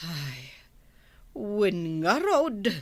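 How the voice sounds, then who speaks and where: A nagging, slow-spoken Ilocano village wife. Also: female voice, medium pitch, very animated, no echo, heavily acted. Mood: exasperated. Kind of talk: Ilocano audio drama acting.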